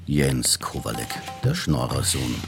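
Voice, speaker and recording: An actor playing a sly, needling companion who mutters terse remarks, often half-whispered, in a radio drama. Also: male voice, rumbling low, sing-song, a little slow, creaky, heavily acted. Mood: suspicious. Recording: music behind the voice.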